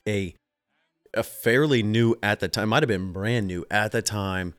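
A man in his thirties hosts a casual show and tells a story with a stuffed-up, nasal voice. He rambles, restarts his sentences and chuckles as he goes. His voice sits low, and faint music plays under him. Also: a little slow. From US Southeast. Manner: rambling, self-interrupting, chuckling. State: stuffy nose. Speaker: show host. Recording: music playing behind.

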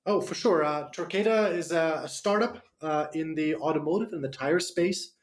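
A man's voice: on the high side, moderate pace. A man from Germany with a slight German accent, a startup CEO answering interview questions on radio, hesitant with many fillers and restarts.

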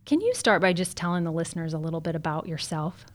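A woman's voice: on the low side, big pitch swings, fast talker. A woman hosting a podcast, reading a formal introduction and asking polite interview questions. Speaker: podcast host.

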